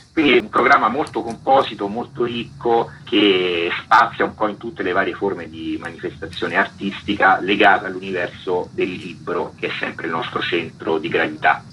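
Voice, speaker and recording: A man's voice: low-pitched, pushed and bright, slightly rough, lo-fi sound. A man speaking as a festival's artistic director, explaining things as a guest in a radio interview.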